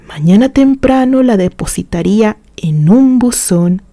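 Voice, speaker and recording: A woman's voice: fairly low pitch, warm tone, no echo. A woman reading a romantic literary story as a scripted narration.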